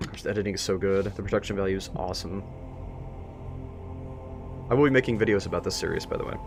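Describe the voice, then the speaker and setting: A man live-streaming a reaction to a web series, chatty and excitable, thinking aloud as he watches. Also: sits low, fast talker, over music.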